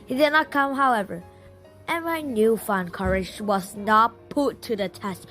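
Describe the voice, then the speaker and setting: A woman's voice: on the high side, measured pace, a bit bright, faint music behind. A woman reading a children's novel aloud as a first-person storyteller. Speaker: audiobook reader.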